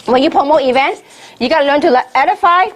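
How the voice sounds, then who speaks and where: A woman's voice: high-pitched, fast, a little hiss or hum. A middle-aged woman from Hong Kong speaks as a seminar trainer, emphatic and repetitive, throwing rhetorical questions at her listeners. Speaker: network marketing trainer giving a seminar. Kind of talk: motivational sales training talk to a room.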